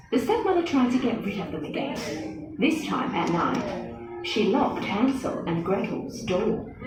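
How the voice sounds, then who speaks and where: A woman narrating a scripted children's story in an expressive, dramatic manner. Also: medium pitch. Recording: echoey with music behind.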